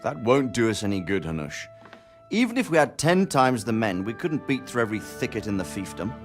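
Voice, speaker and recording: Composed, expressive medieval nobleman. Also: on the low side, a little fast, soft background music. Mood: resigned. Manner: composed, formal. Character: measured, courteous medieval nobleman, authoritative and gracious. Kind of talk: video game cutscene acting.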